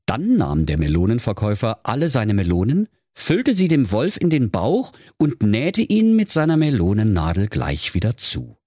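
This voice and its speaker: A man's voice: on the low side, huge pitch swings, moderate pace, hardly any pauses, mellow, dry recording, clean studio sound. A man narrating a comic fairy tale as a storyteller, in a dramatic storybook manner. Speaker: storyteller, podcast host.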